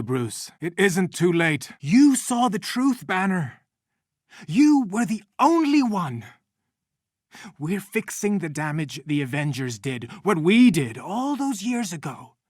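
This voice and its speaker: A man's voice: fairly high pitch, sing-song, takes it slowly, no echo, studio quality, heavily acted. An actor playing an earnest, anxious male scientist and company chief in a video game, nervy but articulate. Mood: earnest, defensive. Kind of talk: video game character acting.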